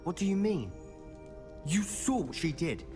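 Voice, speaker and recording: A man's voice: high-pitched, sing-song, measured pace, over music. A man playing a defiant, urgent teenage schoolboy with a British accent in a video game. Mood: excited, insistent. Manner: urgent, insistent, persuasive. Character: driven, defiant teenage schoolboy desperate to help Anne. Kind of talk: dramatic video game acting.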